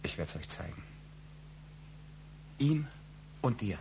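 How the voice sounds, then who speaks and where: Brooding, animated low-voiced husband. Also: male voice, takes it slowly, creaky, a little hiss or hum, full character voice. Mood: bitter. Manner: intense, probing. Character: brooding, suspicious husband with wounded pride. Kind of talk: German radio crime drama acting.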